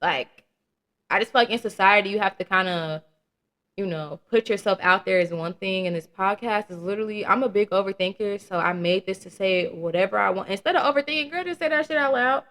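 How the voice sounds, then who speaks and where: A woman's voice: medium pitch, fairly quick, fairly bright tone. Chatty young woman hosting a podcast. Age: young adult. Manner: candid and chatty, with slang and swearing; laughs at her own jokes. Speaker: podcast host. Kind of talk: casual solo podcast chat.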